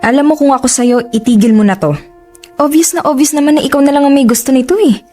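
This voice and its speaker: Woman as blunt Filipina best friend, high voice. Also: fast, dark tone, faint music behind, close to an everyday voice. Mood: frank, disapproving. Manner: frank, questioning, conversational. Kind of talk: Filipino relationship audio drama acting.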